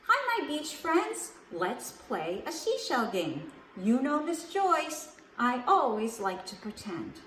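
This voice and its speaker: A woman hosting a children's storytime, reading aloud and leading counting rhymes in a slow, expressive, sing-song way. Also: high-pitched. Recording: echoey room with faint background hiss.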